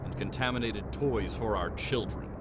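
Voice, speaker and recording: A man playing a resolute Jedi turned war leader in a comic dub, speaking in solemn, formal, measured lines. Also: lowish voice, heavy background noise, close to an everyday voice. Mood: grave, resolute. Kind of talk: dramatic comic dub acting. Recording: loud music under the voice.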